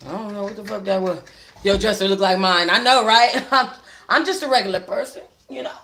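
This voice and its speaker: A woman with a bright, forward voice hosts a book club livestream from home. She reads expressively and speaks in a casual, slangy, conversational way. The phone picks up a noticeably echoey room. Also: mid-range voice, a little fast. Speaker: book club livestream host reading a novel aloud. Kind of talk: expressive read-aloud with casual asides to viewers. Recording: roomy echo on a phone livestream.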